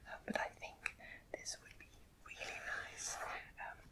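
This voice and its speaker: A woman speaking in a soft, breathy whisper, gently murmuring to a customer as a shop assistant in an ASMR roleplay. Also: unhurried, rough recording. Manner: gentle, murmured, hesitant phrasing addressed to a customer. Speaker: ASMR host playing a quilt shop assistant.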